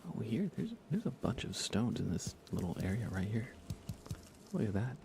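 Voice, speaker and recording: A man hosting an ASMR gaming livestream, speaking in a breathy, hushed, reassuring whisper with some vocal fry. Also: lowish voice, very animated, normal speed, a little hiss or hum. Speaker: livestream host.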